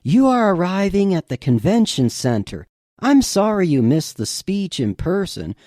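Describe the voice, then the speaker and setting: A man's voice: high voice, sing-song, measured pace, mellow, no room sound, clean studio sound, voice pushed up. A man voicing a courteous virtual assistant built into a truck. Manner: courteous, smooth, service-like.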